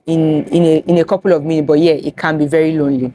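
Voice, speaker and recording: Lively Nigerian woman hosting a chat. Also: deep voice, fast, a little hiss or hum. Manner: lively, animated, switching into Yoruba phrases. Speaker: host. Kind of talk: casual chat with friends.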